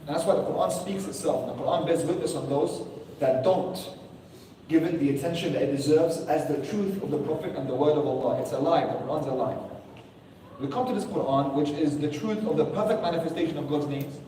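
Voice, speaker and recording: A man's voice: a little high, brisk, mellow, a little hiss or hum. Man preaching in an echoey hall. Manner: earnest, rhetorical questions, quotes Arabic verses. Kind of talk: sermon. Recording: echoey hall, distant mic.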